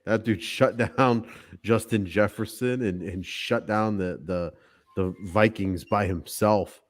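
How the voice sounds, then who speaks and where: A man with a fairly low voice talks football bluntly and swears freely. His delivery is loose and offhand. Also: steady tone, slow pace. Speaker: podcast co-host. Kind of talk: casual sports chat.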